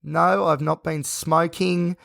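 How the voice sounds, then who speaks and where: A man hosting a solo podcast, talking in a rambling, tired, self-reflective way with a croaky, hoarse voice. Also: fairly high pitch, steady tone, slow pace, a bit bright, no room sound, studio quality. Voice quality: gravelly. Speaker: podcast host.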